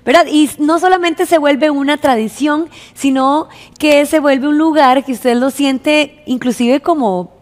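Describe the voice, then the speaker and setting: A woman's voice: sits high, a little slow, few pauses. A woman in her thirties with a Costa Rican accent chatting unscripted as a television presenter. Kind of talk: unscripted studio chat. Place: indoor television studio. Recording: reverberant TV studio.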